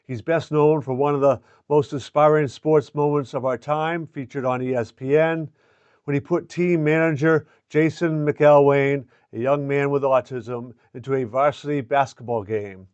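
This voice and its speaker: An older man speaking as a show host and coach, with an earnest, deliberate way of talking. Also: medium pitch, steady tone, darkish tone, smooth, dry recording, dull audio. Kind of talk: scripted promo and introduction, then casual interviewing.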